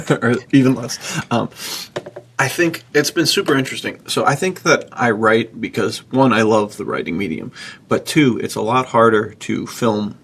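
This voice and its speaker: A man in casual, reflective conversation as a podcast co-host, thinking aloud informally in a gravelly, husky voice. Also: mid-range voice, moderate pace, a bit bright, slight background noise.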